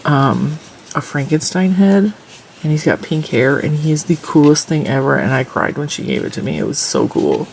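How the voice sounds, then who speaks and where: A woman with a stuffy, congested nose talks casually while she crochets and counts off her stitches and rows. Her voice has a gravelly fry, and a faint hiss of noise sits behind it. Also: a bass voice, darkish tone, low-quality recording. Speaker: crochet tutorial host. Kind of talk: casual crochet tutorial with chat.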